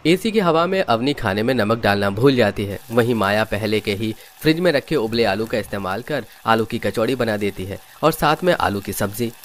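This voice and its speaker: A man's voice: fairly low pitch, expressive, moderate pace, hardly any pauses, slight background noise. A man reading scripted narration for a story.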